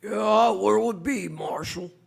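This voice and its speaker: A woman playing an excitable, protective saloon keeper in a radio western, gravelly and husky, with a rural American accent. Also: medium pitch, slow pace, full character voice. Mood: flustered. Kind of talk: old-time radio western acting.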